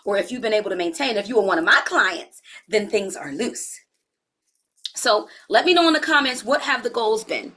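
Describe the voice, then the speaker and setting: A woman in her forties giving a live-streamed health coaching talk, chatty and relaxed, speaking straight to her viewers and using casual slang. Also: medium pitch, moderate pace. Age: 40s.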